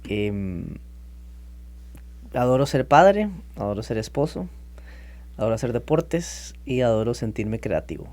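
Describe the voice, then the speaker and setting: A man in his thirties from Costa Rica, telling his story as a podcast guest in a lively, chatty way. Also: medium pitch, a little slow, pauses often. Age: 30s. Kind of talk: casual interview talk.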